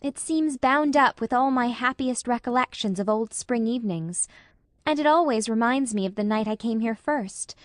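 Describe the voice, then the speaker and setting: A woman's voice: on the high side, moderate pace, dry recording, studio quality, natural voice. A woman voicing a dreamy, romantic young woman who speaks in a gushing, lyrical way. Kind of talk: audiobook character voice.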